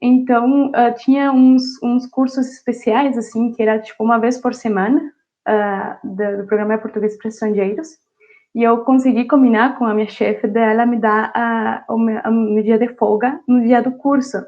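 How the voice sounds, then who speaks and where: A woman's voice: a little high, level tone, normal speed, a little mellow. A woman from Venezuela, a native Spanish speaker, telling her story in an interview in a reflective way with many fillers and restarts. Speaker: interview guest, university student. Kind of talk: casual interview answer. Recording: dull lo-fi audio.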